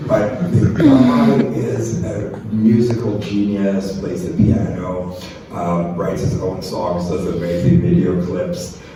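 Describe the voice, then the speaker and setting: Low-voiced man lecturing in echoey room. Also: expressive, slow pace, a crowd in the background. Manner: enthusiastic, digressive, engaging listeners with questions. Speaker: university lecturer and radio host. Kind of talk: informal lecture to students. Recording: echoey room, distant mic, noisy background.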